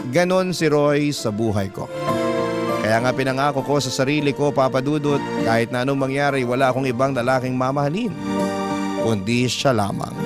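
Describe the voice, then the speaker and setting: A Filipino male radio host giving a heartfelt, dramatic reading of a listener's love letter in a deliberate storytelling way. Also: mid-range voice. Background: prominent music.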